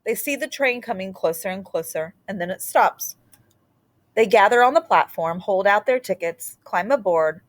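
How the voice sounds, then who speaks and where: A woman storyteller reading a picture book aloud expressively, in short deliberate phrases with dramatic pauses and the odd aside. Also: mid-range voice, animated.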